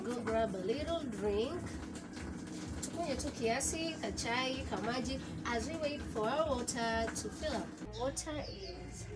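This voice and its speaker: A woman with a Kenyan accent, in her thirties, chatting casually as she explains each step of a laundry how-to in a small home laundry room, slipping in Swahili phrases. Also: a little high. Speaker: vlogger.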